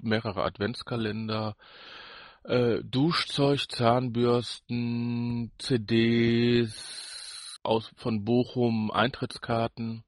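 A man's voice: medium pitch, flat delivery, extremely slow, dry recording. A man co-hosting a podcast in casual banter, with a teasing, jokey manner. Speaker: podcast co-host.

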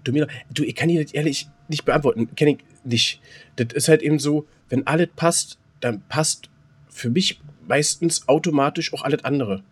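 Husky, higher-voiced man chatting casually. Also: normal speed. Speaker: podcast co-host. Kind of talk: casual chat.

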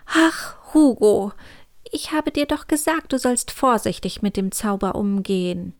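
Woman as a high-voiced, chiding moss elf. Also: animated, unhurried, dry recording. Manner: chiding, chanting spells in singsong. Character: female moss elf, gently chiding, sensible magic-worker. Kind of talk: children's storybook character voice.

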